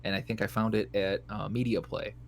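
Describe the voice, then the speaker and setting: A man in his thirties with a fairly low voice co-hosts a casual gaming podcast. He talks in a relaxed, joking way and slips into a mock newscaster read. A faint hum runs under the recording. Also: a little fast, vocal fry. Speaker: podcast co-host. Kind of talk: casual gaming chat, reading list items aloud.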